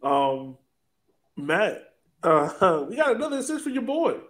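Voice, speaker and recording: A man's voice: high voice, big pitch swings, a little slow, fairly bright tone. A man hosting a casual sports podcast, chatting in a playful, teasing way. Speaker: podcast host.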